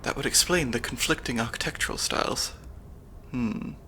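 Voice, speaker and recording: A man's voice: medium pitch, normal speed, a little hiss or hum, natural voice. A young man voicing a nerdy, slightly anxious stand-in spy handler with dry wit, in an ASMR audio roleplay. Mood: analytical. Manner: analytical, dry wit, soft-spoken.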